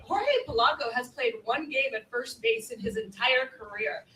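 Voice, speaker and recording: A woman with a bright, sharp voice debates sports on a live panel. She is emphatic and skeptical, building points through repetition. The sound is echoey and a little away from the mic. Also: sits high, sing-song, normal speed, recorded from a distance. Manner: emphatic, skeptical, repeats phrases and rhetorical questions. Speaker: baseball panelist. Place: live convention panel stage.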